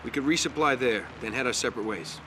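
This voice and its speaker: An actor playing a gruff, commanding resistance squad boss in a video game, blunt and urgent. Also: male voice, medium pitch, quick pace, background noise, lightly acted. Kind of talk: video game cutscene acting.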